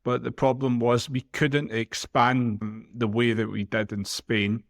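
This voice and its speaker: A man's voice: mid-range voice, flat delivery, a little slow. A man from Glasgow, co-hosting a podcast and giving plain-spoken, practical advice. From Glasgow, Scotland. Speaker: podcast co-host. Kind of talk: casual podcast chat.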